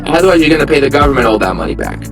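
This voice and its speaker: A man's voice: medium pitch, sing-song, very fast, over music. A young man from Armenia, a real estate investor, telling his story in a candid, plainspoken interview. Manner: candid, plainspoken, lots of fillers.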